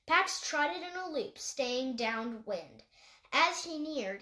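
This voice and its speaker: A woman's voice: a little high, fairly bright tone, roomy sound. A woman reading a children's animal novel aloud as scripted audiobook narration. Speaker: audiobook reader.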